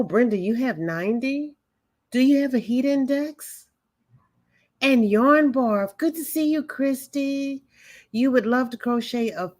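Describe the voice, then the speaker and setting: A woman hosting a crochet livestream, chatting casually and greeting viewers by name as their comments come in. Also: medium pitch. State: voice may be weak, hoping it holds up. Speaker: crochet channel host greeting livestream chat.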